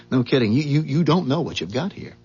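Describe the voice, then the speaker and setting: Animated, incredulous regular guy, slightly raspy. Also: mid-range voice. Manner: colloquial, incredulous, halting. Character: skeptical, easily amazed everyday man, friendly curious neighbor. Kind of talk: 1970s radio drama acting. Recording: dull, muffled 1970s radio broadcast recording.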